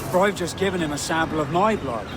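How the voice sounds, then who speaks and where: Male actor for game pirate captain: stern turncoat loyalist, high voice, old-fashioned sailor talk, music behind. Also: animated, quick pace, natural voice. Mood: deferential, measured. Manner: formal, old-fashioned seaman's speech. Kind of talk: dramatic period seafaring dialogue.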